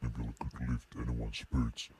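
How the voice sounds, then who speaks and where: Menacing demon storyteller, huge pitched-down voice with bass boost and effects, dark and very monotone. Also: male voice, breathy edge, full character voice. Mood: solemn, menacing. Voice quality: pitched-down, heavy, booming low end. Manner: measured storybook reading. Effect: pitch shifter, bass boost, compression, reverb.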